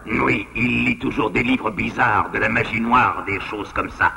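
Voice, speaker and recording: Gravelly, sinister old cartoon wolf. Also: male voice, mid-range voice, steady tone, unhurried, pushed and bright, in a large hall, a little hiss or hum, heavily acted, rough recording. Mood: sly, scheming. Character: scheming, sinister old grandfather wolf, head of the clan. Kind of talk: French cartoon dub.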